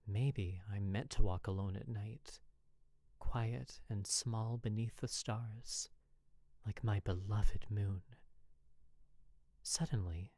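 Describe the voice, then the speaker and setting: An expressive, measured narrator reading a first-person dramatic story. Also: medium pitch, no echo. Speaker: podfic narrator.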